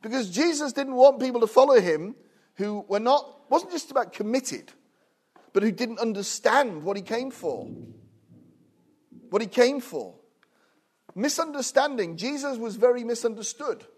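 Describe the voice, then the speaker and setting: A man in his fifties, a guest preacher in a small church, speaking with jovial asides and growing animated as he preaches. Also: measured pace, slightly rough. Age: 50s. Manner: animated, rising loud and high when preaching; hushed, soft prayer. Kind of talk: sermon. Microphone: own recorder, no microphone.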